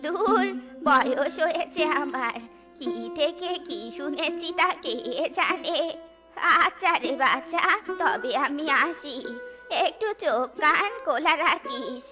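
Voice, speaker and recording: A woman's voice: sits high, expressive, slow. A woman voicing a poor, scolding old village woman in a cartoon, whining and cackling. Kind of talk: Bengali cartoon voice acting. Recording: cartoon music behind.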